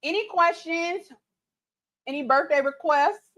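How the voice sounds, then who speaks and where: A woman's voice: very high voice, measured pace, a bit bright. A woman, chatting casually on her cooking livestream, reading viewer comments aloud and laughing easily, a little tipsy. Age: late 30s. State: tipsy from mimosas.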